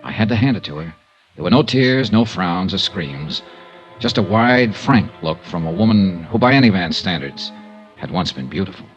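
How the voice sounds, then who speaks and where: An actor playing a shrewd, tough insurance investigator, narrating and talking in a clipped, matter-of-fact 1950s radio noir style. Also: male voice, low-pitched, expressive, moderate pace, soft background music. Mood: brisk, wry. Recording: muffled vintage radio recording.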